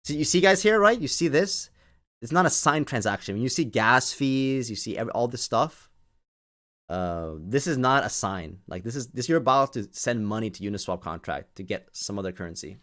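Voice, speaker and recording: A man's voice: medium pitch, lively intonation, moderate pace, a bit bright. A man hosting a livestream with casual live commentary, speaking in repetitive, stop-and-start phrases and often addressing his listeners directly. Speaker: livestream host.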